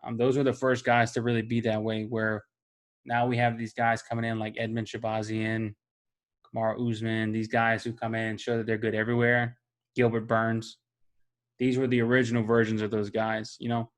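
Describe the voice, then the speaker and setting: A man's voice: mid-range voice, monotone, normal speed. A man co-hosting a casual sports chat, laid-back and chatty. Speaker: podcast co-host.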